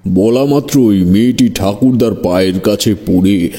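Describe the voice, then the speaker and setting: A man's voice: fairly low pitch, unhurried, dark tone. A man narrating a scripted horror story in a dramatic storytelling style.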